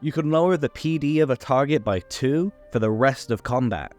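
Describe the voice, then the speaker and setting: A man's voice: medium pitch, expressive, normal speed, darkish tone, soft background music. A man hosting a tabletop game tutorial, reading a scripted rules explainer.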